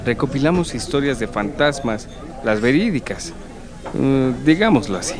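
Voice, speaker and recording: An actor playing an affable, courteous old-world English gentleman in an audiobook drama, speaking politely and with measure. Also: medium pitch, sing-song, moderate pace, lightly acted. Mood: courteous, inviting. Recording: dialogue over clear crowd ambience. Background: public-place chatter.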